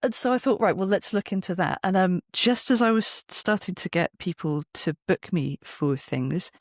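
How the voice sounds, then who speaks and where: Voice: medium pitch, moderate pace, dry recording. Middle-aged interview guest, wry and dry-recorded. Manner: wry, self-deprecating. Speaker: guest, voice actor and performer. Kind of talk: conversational interview, anecdotal storytelling.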